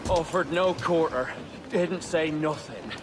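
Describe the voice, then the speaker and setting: Slow, rustic wounded sailor. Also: male voice, a little high, background noise. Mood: weak, shaken. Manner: rustic, uneducated speech. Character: wounded common sailor, sole survivor of attack. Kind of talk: video game cutscene acting.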